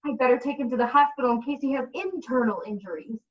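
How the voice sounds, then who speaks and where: A woman's voice: on the high side, quick pace, lightly acted. A woman playing an exasperated, defensive single mother in a comedic radio play, recorded on a distant, muffled mic. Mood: relieved, tender.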